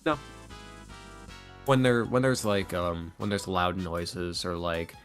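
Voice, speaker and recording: A man's voice: low voice, takes it slowly, soft background music. A man talking casually and earnestly as a podcast guest, in an informal way. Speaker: podcast guest, ASMR roleplay creator. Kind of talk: casual interview chat.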